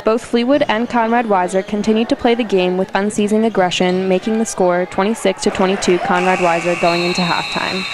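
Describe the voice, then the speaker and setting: A teenage girl reading a school sports report in a steady, scripted way. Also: medium pitch, a little fast, hardly any pauses, background noise. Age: teens.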